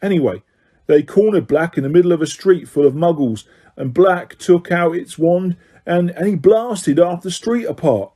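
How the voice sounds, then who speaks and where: A man voicing an excitable young male bus conductor, gossipy and dramatic in a storybook reading. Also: sits high, normal speed, voice pushed up.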